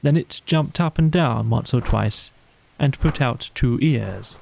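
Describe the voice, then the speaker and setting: A man's voice: mid-range voice, takes it slowly, vocal fry, a little mellow, a little hiss or hum. A man narrating a children's bedtime story from a script as an audiobook reader.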